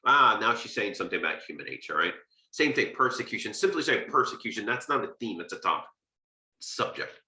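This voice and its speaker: A man's voice: lowish voice, animated, a little fast, pushed and bright, echoey room. An American man giving an informal literature lecture, conversational and emphatic, checking his points with short rhetorical questions. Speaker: literature lecturer and video host.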